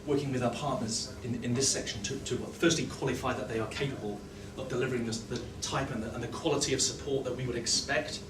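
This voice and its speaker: A man's voice: mid-range voice, fairly even intonation, fast, hardly any pauses, background noise. A man giving a conference talk, often restarting his sentences and adding fillers. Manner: hesitant, many restarts and fillers. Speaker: conference speaker. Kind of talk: presenting a talk. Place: large conference hall. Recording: roomy hall sound from a distance.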